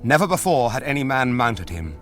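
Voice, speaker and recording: Guy narrating an epic radio drama, solemn and measured, middle pitched, music playing behind. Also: big pitch swings. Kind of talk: scripted dramatic storytelling narration.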